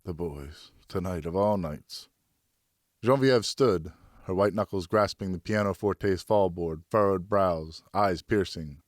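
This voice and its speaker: A man narrating a novel in the first person as a professional audiobook reader, measured and dramatic. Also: deep voice, no room sound, studio quality.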